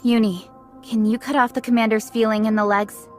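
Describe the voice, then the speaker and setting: A woman playing a composed, protective female squad leader in a game, speaking in a measured, authoritative way. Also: a little high, flat delivery, faint music behind, natural voice. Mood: firm, focused. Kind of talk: dubbed game cutscene voice acting.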